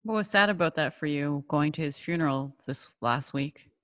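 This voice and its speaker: A woman's voice: low-pitched, moderate pace, a bit bright, no room sound. A young woman interviewing her father in a casual chat, giving brief, encouraging prompts.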